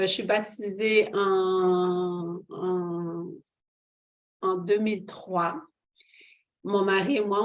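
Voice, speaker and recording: A woman pastor giving her testimony in an interview, hesitant with many fillers and growing fervent. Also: mid-range voice.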